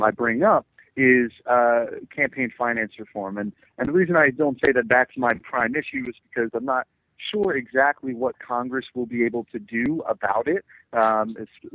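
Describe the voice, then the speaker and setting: An American man in his twenties giving earnest, somewhat halting interview answers as a political candidate. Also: medium pitch, a bit bright, dry recording, poor audio. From New Jersey, USA. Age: 20s. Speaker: congressional candidate. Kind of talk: interview answers, campaign talking points.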